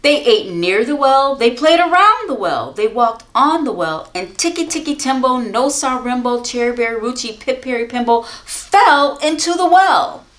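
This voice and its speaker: Lady teacher reading a picture book to the class, chatty, a bit high. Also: lively intonation, moderate pace, few pauses, a bit bright, reverberant. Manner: chatty asides to young listeners. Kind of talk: storybook read-aloud.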